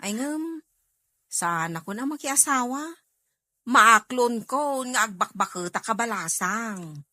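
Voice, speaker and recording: A cheerful young farm woman played by a woman in an audio drama. She is bright and animated, gushing and teasing, recorded close and dry. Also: fairly high pitch, slow, dry recording, heavily acted. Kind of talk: amateur Filipino audio drama acting.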